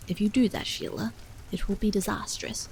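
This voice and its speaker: A woman reading aloud and voicing a troubled husband who speaks gravely, dully and stubbornly, with halting pauses. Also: medium pitch, steady tone, darkish tone, slight background noise, lightly acted, rough recording.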